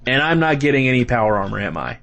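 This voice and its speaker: A man's voice: mid-range voice, sing-song, quick pace, creaky, fairly bright tone. A man playing an exasperated, skeptical sidekick in a comedic audio drama, with a sarcastic, incredulous delivery. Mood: wary, reluctant. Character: skeptical, exasperated male radio newsman, reluctant sidekick.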